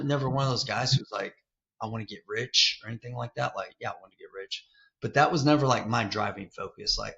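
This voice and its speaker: Gravelly bright-voiced man in his fifties. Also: mid-range voice. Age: 50s. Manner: loose, candid, peppers talk with "like" and "man". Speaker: real estate investor guest. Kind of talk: casual interview storytelling. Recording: dull lo-fi sound.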